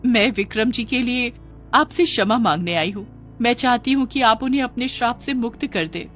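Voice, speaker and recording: Woman doing a kind brave girl in a kids cartoon, earnest n gentle, soft music behind. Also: fairly high pitch, normal speed, voice pushed up, close to an everyday voice. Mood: determined. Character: brave, compassionate girl named Lily. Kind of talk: children's cartoon story dialogue.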